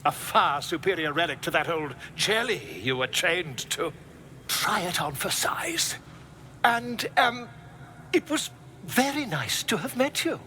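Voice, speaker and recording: A man's voice: high-pitched, lively intonation, a little slow, bright, forceful tone, slight background noise, exaggerated voice. A man playing a fussy, flamboyant bard in a video game, airily apologetic with a florid, hesitant manner. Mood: sheepish, airy. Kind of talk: dramatic video game acting.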